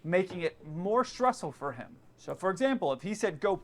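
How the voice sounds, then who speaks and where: High-pitched man explaining casually. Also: very animated, moderate pace. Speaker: pilot giving commentary. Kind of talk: explanatory commentary on air traffic radio.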